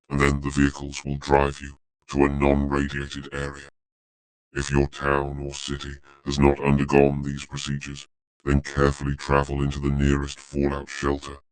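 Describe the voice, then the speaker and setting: Very deep, monotone synthetic male announcer. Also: smooth, low-quality recording. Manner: formal, flat, repetitive. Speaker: emergency broadcast announcer. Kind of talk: scripted emergency alert announcement.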